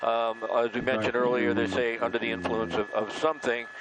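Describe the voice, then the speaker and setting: A man's voice: medium pitch, level tone, brisk, a loud crowd. An American man working as an airborne news reporter, giving conversational, explanatory play-by-play on a breaking story. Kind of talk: live breaking news commentary. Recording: noisy outdoor vehicle feed.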